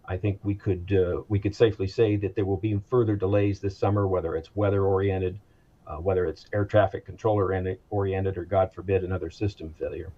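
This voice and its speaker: American male expert guest, husky and measured, on slightly muffled audio. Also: deep voice, steady tone, dark tone. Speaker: aviation executive, interview guest. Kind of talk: explanatory interview answers.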